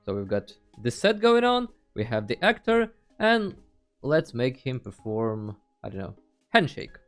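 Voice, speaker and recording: A man's voice: mid-range voice, big pitch swings, measured pace, smooth, faint music behind. A man hosting a casual video tutorial, talking through each step in a playful, dryly joking way. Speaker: host of a video game tutorial channel. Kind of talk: casual tutorial screen narration.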